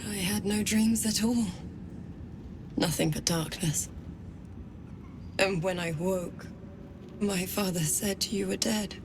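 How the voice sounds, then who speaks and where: Gentle haunted woman, unhurried, halting, mid-pitched, soft-spoken, game cutscene, noisy ambience. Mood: haunted, quiet. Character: gentle, haunted daughter back from death. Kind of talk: video game cutscene acting. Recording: clear background noise and ambience.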